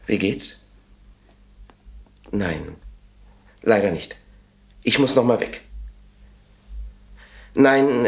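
An actor playing a suave lover at his rival's mercy in a German radio thriller, husky, urbane and sardonic. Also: on the low side, heavily acted. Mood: smooth, civil.

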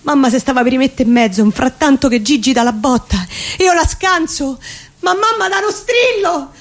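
A woman's voice: high-pitched, expressive, normal speed. A woman with a Roman accent reciting a dramatic verse monologue in dialect, intense and theatrical. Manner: intense, theatrical, swinging from whispers to shouts. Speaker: reciting performer in a radio theatre show.

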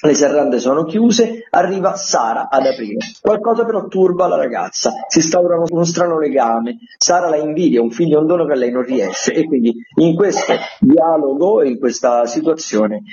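The sound is slightly dull and lo-fi. A male theatre critic runs through radio listings with informal commentary, in a mellow voice pitched a little high. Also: animated, moderate pace, few pauses, slight background noise.

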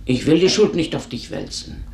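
A woman's voice: very deep voice, big pitch swings, moderate pace, vocal fry, a little mellow, exaggerated voice. A woman playing a shrewd, blunt older housemaid in a radio drama, knowing and insinuating. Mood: knowing, insistent. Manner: knowing, insinuating, plain-spoken. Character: shrewd, blunt older female servant, needling and watchful. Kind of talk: German radio drama acting. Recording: roomy echoing studio.

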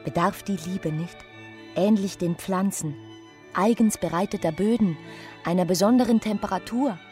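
An actress playing a dreamy, discontented young doctor's wife in a literary radio drama, husky and breathy, intimate, sometimes slipping into whispers. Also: female voice, mid-range voice, moderate pace, mellow, soft background music, lightly acted. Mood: wistful longing.

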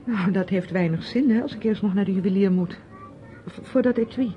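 A woman's voice: medium pitch, a little fast, a little hiss or hum, natural voice. A woman playing a reserved, dutiful young niece in a radio drama, speaking tersely, politely and with some hesitation. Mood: reticent. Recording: dull old radio recording.

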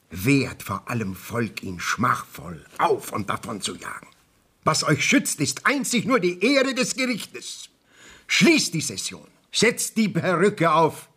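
An actor playing a stern, dignified court official in a radio play, speaking in a formal, declamatory manner. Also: male voice, fairly high pitch, sing-song, unhurried, fairly bright tone, full character voice. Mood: outraged. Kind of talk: 1970s radio drama acting.

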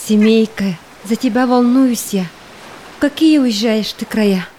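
Slow, plaintive devoted wife played by a woman. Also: a little high, mellow, a little hiss or hum, lightly acted. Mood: sad, tender. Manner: plaintive, tender verse delivery. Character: devoted sorrowful young wife of departing explorer. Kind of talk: verse audio drama acting.

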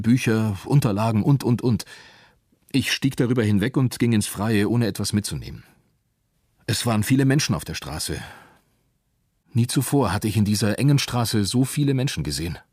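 A measured eyewitness parent in radio drama: lowish, husky, dry sound. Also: male voice, lively intonation, a little mellow, dry recording. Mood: measured recollection. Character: Japanese parent recounting an earthquake day in measured detail. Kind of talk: scripted radio play monologue.

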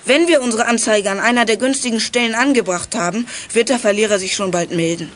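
Eine Schauspielerin spielt in einem Kinderhörspiel ein selbstbewusstes, bestimmendes Detektivkind, das zügig und entschlossen auftritt. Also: female voice, on the high side, fast, natural voice. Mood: decisive. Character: confident, bossy young child detective leading friends. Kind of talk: German children's radio play acting.

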